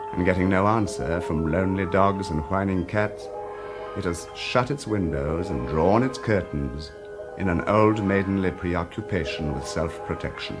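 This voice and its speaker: A man narrating a scripted story in a measured, theatrical manner, with deliberate pauses. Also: sits low. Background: music.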